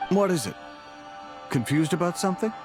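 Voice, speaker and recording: A man playing a demanding, dismissive office boss in a video game, brisk and condescending. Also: high voice, lively intonation. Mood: bossy, dismissive. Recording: faint music under the voice.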